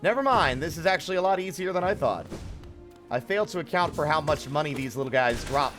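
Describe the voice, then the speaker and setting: A man's voice: on the high side, expressive, quick pace, fairly bright tone, faint music behind. A man streaming a game live, commenting casually in a rambling, self-questioning way with some swearing.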